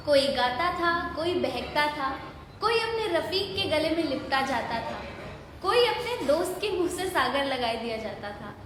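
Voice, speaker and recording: High-voiced woman narrator in echoey hall. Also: very animated, a little slow, a crowd in the background. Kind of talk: dramatic story narration in Hindi-Urdu. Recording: echoey hall, long reverb, clear noise.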